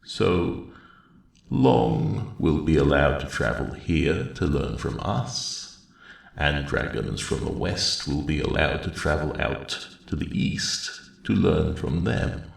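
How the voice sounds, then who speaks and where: Super deep rumbly old dragon elder for asmr, very gravelly, slow and unhurried, polite kindly formal talk. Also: male voice, animated, echoey room, exaggerated voice. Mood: thoughtful, calm. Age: older. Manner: measured, hesitant, formal old-fashioned phrasing. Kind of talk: ASMR roleplay, soft intimate storytelling.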